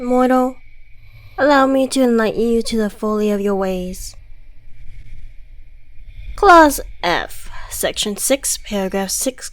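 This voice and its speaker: A woman playing an ancient devil in a scripted ASMR roleplay, theatrical, articulate and condescending, mockingly friendly with menace underneath. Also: on the high side. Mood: stern, gleeful. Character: suave, gloating devil, centuries old, mockingly friendly, menacing.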